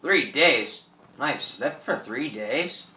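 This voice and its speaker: Radio drama: proud, fierce French pirate, theatrical broken English, forceful delivery, recorded from a distance. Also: male voice, mid-range voice, lively intonation, takes it slowly, reverberant, exaggerated voice. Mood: startled, puzzled. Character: fierce, proud French pirate thawed from fifty years frozen. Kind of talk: amateur radio drama acting.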